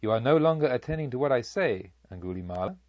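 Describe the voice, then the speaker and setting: A man voicing a serene, wise holy monk who gently admonishes in a calm, measured, knowing way, as in an audiobook. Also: medium pitch, dry recording, muffled recording, lightly acted. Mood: gentle admonishing. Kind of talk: dramatised audiobook dialogue.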